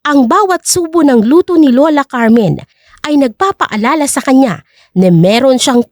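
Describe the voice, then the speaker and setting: A woman's voice: sits high, expressive, normal speed, a little mellow, no echo, clean studio sound. A woman narrator reading a scripted story in a dramatic storytelling style.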